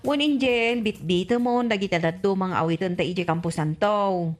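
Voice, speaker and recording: A woman's voice: mid-range voice, moderate pace, soft background music, close to an everyday voice. A woman playing a long-suffering, nagging village wife and mother in an Ilocano radio comedy drama. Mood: weary, chiding.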